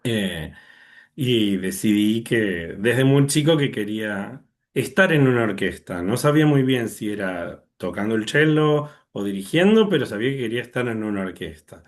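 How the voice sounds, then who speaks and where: A man's voice: on the low side, expressive, moderate pace. A young man from Argentina with an Argentine accent, a conductor telling his story in an interview over a video call, thoughtful and full of fillers. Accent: Argentine Spanish. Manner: thoughtful, many fillers and restarts. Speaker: orchestra conductor, guest.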